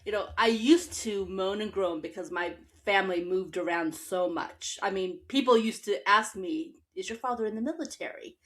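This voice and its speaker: A woman's voice: medium pitch, moderate pace, few pauses, a bit bright. A woman from Texas and the Midwest hosting an interview in a casual, reflective conversational style. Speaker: interview host.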